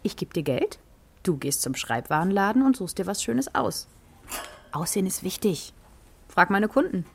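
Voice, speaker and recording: A woman playing a romantic, nostalgic mother in a children's radio play, with a husky voice and a colloquial, exclaiming delivery. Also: medium pitch, very animated, moderate pace, slight background noise. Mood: firm, cheerful.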